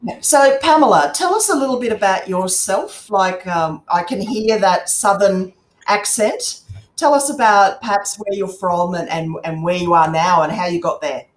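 A woman's voice: medium pitch, normal speed. A woman hosting a casual interview, encouraging and attentive with her guest. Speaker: interviewer, host. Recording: echoey, roomy sound.

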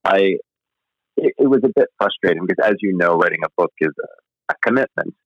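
A man with a very low voice talks about his writing as a guest in a casual interview. He rambles and hesitates and is self-deprecating. The sound is dry and a little muffled. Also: animated, brisk, no room sound. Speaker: novelist guest.

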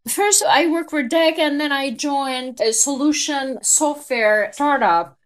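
A woman's voice: sits high, slow pace, rough recording. Turkish-accented woman telling her story. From Turkey. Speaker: guest. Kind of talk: interview storytelling.

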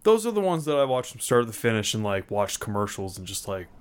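A man's voice: on the low side, sing-song, brisk, clear, resonant tone. A man co-hosting a podcast in casual chat, blunt and joking, often agreeing with his partner. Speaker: podcast co-host.

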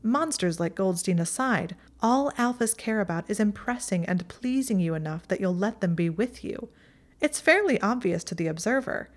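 A woman's voice: medium pitch, pitched above the performer's own voice, natural voice. A woman voicing a haughty, mocking young witch who talks down to others in a condescending tone. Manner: condescending, sneering.

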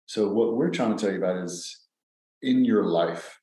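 Fifties guy, low-ish voice, rambly podcast chat with lots of ums, echoey room. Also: normal speed. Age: 50s. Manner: relaxed, rambling, with many fillers. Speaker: podcast co-host.

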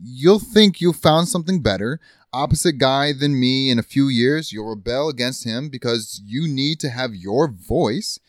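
A man's voice: medium pitch, moderate pace, no echo, natural voice. A man playing a bitter husband in a marital fight, scornful and emphatic as he accuses. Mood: contemptuous.

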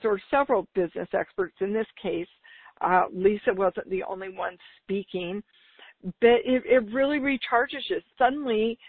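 A woman with a slightly raspy voice, talking informally and enthusiastically as the solo host of a business podcast. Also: mid-range voice, no room sound. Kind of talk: upbeat solo podcast talk. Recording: dull lo-fi radio-like sound.

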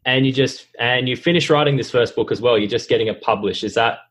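A hoarse man with a cold puts friendly, chatty questions to his guest. His voice is husky and sits at a middle pitch. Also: fast, a bit bright. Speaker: podcast host. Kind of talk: interview questions.

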